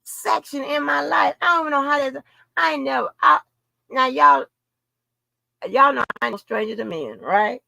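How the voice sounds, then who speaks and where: A woman's voice: sits high, moderate pace, vocal fry, pushed and bright, no echo, low-quality recording. A woman in an unscripted livestream rant, blunt, profane and confrontational, repeating phrases for emphasis. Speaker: livestream host.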